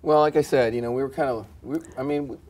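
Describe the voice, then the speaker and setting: A middle-aged man playing a home seller in a role-play, talking in a hesitant, halting way in an office conference room. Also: mid-range voice, steady tone, darkish tone, slight background noise.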